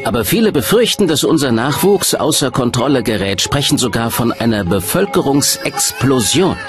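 A man's voice: medium pitch, big pitch swings, normal speed, close to an everyday voice. A man voicing a statistician presenter in a scripted documentary voice-over, addressing the audience directly with rhetorical questions. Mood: explanatory, engaging. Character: male statistician presenting population facts, eager explainer. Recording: music behind the voice. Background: background music.

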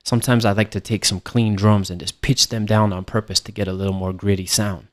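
A man narrating a software tutorial as an instructor, explaining things in a casual way. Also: on the low side, flat delivery, fairly quick. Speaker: music production instructor.

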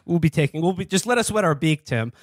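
A man's voice: a little high, lively intonation, fast talker, no room sound, clean studio sound. An American man hosting a casual interview, stammering and repeating words as he builds his questions. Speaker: podcast host and interviewer.

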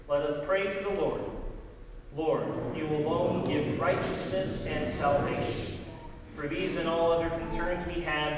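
Male pastor, thirties, slightly high voice, solemn measured liturgy, distant mic in a big echoing church. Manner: measured, solemn responsive cadence. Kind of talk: leading liturgical prayers. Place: large church sanctuary. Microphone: distant camera and room mic. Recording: echoey church hall from far off, hiss.

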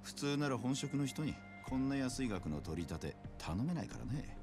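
A man's voice: mid-range voice, very animated, moderate pace, music in the background. An actor playing a middle-aged loan shark in a video game, casual and patronizing as he talks. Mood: smug, easygoing. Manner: casual, condescendingly generous. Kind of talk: game cutscene voice acting.

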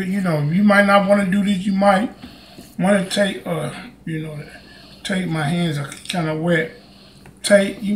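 A man's voice: sits high, fairly bright tone. A man in his fifties hosting a casual home cooking tutorial, chatting and rambling with lots of fillers as he films himself in his kitchen. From Chicago, United States. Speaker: home cooking channel host. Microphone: camera mic, filming himself. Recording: echoey kitchen with faint noise.

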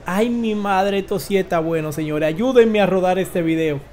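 A man in his forties with a Dominican accent gives informal news commentary as a host, halting and emphatic, with dramatic pauses. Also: sits high, a little mellow, a little hiss or hum. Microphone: close desk microphone.

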